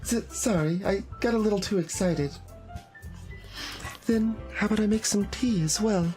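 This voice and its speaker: A man's voice: sits high, normal speed, darkish tone, faint music behind, voice pushed up, close to an everyday voice. A man voicing a mature, timid, polite teenage schoolgirl in an anime game, with a courteous manner. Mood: apologetic.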